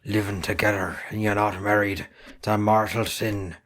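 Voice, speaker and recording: A man's voice: on the low side, level tone, normal speed, fairly bright tone, natural voice. Man acting a guarded young Irish farmer's son. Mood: curious. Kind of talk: amateur drama dialogue.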